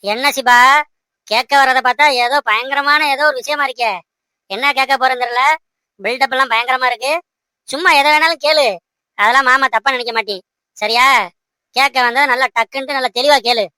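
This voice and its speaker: A woman's voice: sits high, fairly even intonation, a little fast, long pauses, sharp tone, clear, resonant tone, dry recording, rough recording. A woman voicing an insistent, bossy young fiancé in an amateur cartoon dub, repeating short commands and questions. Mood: coaxing, curious. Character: young man, the heroine's fiancé, bossy and coaxing.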